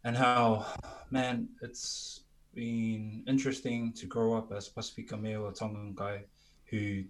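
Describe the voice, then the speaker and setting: A man speaking as a musician guest in a casual, reflective interview, candid and thoughtful, with halting phrasing. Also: on the low side, level tone, low-quality recording.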